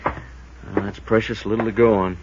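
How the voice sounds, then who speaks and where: Actor as muffled, low-voiced American deputy sheriff. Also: male voice, level tone, close to an everyday voice. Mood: matter-of-fact. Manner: measured, plain-spoken, probing. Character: cautious, folksy male deputy sheriff. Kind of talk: 1950s radio drama acting. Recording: dull, noisy vintage radio recording.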